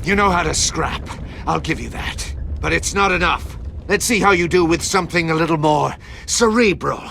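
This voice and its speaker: A man's voice: on the high side, moderate pace, gravelly, background noise. An actor playing a sneering older male villain in a video game, theatrical, gleeful and mocking. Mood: theatrical menace.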